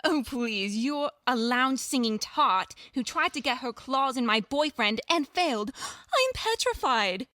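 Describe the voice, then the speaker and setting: A woman voicing a feisty, defiant young dame in an audio drama, sharp-tongued and sarcastic. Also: high voice, lively intonation, unhurried, hardly any pauses, no echo, clean studio sound, exaggerated voice. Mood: defiant sarcasm.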